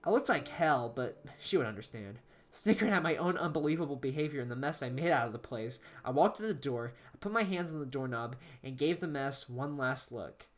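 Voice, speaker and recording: A woman's voice: rumbling low, lively intonation, a little fast. A woman giving an amateur, dramatic narration of a horror story.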